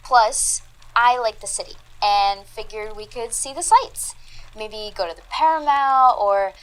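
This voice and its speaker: A woman's voice: on the high side, unhurried, natural voice, poor audio. Methodical, articulate woman investigator, played by an actress. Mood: casual, easygoing. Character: analytical, unflappable woman investigator of the paranormal. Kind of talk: audio drama dialogue, measured theorizing.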